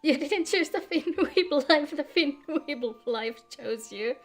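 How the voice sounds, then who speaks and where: High-voiced woman chatting on stream. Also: animated, takes it slowly. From Finland. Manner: chatty, greets viewers by name. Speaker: game livestreamer. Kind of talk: casual livestream chat with viewers. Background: in-game music.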